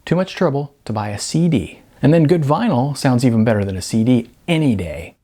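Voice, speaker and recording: A man's voice: mid-range voice, animated, brisk, warm tone, breathy edge, pitched above the performer's own voice. A man voicing a sarcastic, argumentative young record store clerk in an audiobook, a music snob with little patience. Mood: ranting.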